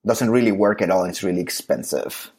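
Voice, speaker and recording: A man's voice: low-pitched, animated, brisk, darkish tone. A man from Colombia co-hosting a podcast, chatting casually in a jokey, emphatic way. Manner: jokey, emphatic, trails off mid-sentence. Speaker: podcast co-host.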